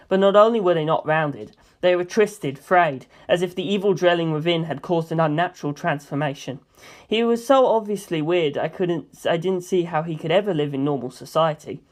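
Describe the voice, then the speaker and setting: A woman reading a first-person novel aloud as an amateur audiobook narrator, with expressive delivery. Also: fairly low pitch.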